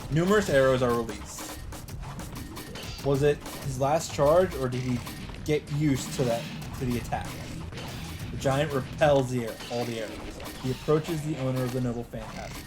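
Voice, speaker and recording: A man doing a let's play, reading a visual novel aloud as dramatic narration in halting phrases, with some off-the-cuff reactions. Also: mid-range voice. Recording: music behind the voice. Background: game soundtrack music.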